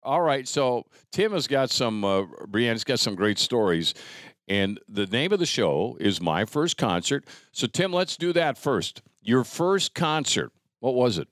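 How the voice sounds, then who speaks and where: A man's voice: medium pitch, very animated, unhurried, a bit bright, dry recording, studio quality. An American man hosting a casual talk show in a folksy, wry manner. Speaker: podcast host.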